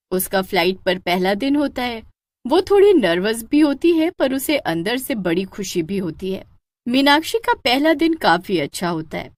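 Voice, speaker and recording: Expressive woman storyteller, closely recorded. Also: mid-range voice, no echo. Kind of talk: scripted narration of a cartoon horror story.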